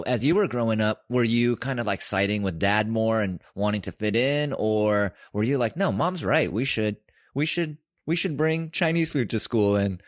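A man's voice: on the low side, no room sound, clean studio sound. Man asking curious interview questions. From San Francisco, United States. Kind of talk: casual interview chat.

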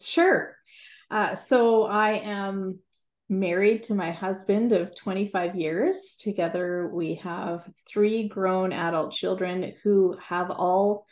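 A woman's voice: medium pitch, steady tone, slow pace, darkish tone, reverberant. A woman in her forties with a Canadian accent, a guest writer and Bible teacher talking earnestly in an interview and laughing easily. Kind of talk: interview conversation.

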